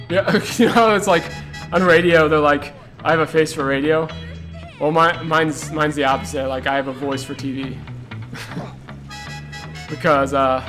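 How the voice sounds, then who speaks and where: A man giving rambling, casual live commentary while speedrunning a game, with lots of "like" and "uh" fillers. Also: fairly high pitch. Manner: chatty and rambling, full of "like" and "uh"; laughs at himself between points. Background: game music and sound effects.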